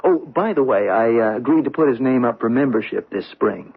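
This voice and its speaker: An actor playing an affable, unsuspecting husband in a radio drama, easygoing and casually hesitant. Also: male voice, medium pitch, lively intonation, brisk, creaky, slightly rough. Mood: breezy. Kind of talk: old-time radio drama acting. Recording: tinny, band-limited vintage radio broadcast.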